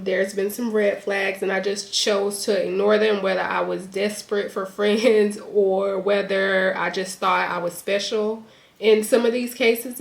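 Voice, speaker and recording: A woman's voice: medium pitch, creaky. A young woman in a casual sit-down chat to her camera, a bit congested, talking with lots of "like" and "um". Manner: chatty, lots of "like" and "um". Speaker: YouTuber. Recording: echoey room.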